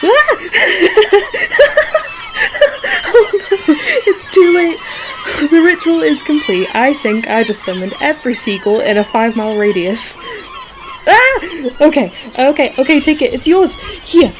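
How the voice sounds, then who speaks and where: A woman's voice: high-pitched, sing-song, unhurried, noisy background. A woman acting a playful, affectionate girlfriend in a romantic audio roleplay, with a theatrical, teasing manner. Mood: panicked, laughing. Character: playful, dramatic, affectionate girlfriend on a beach picnic. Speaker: voice actor.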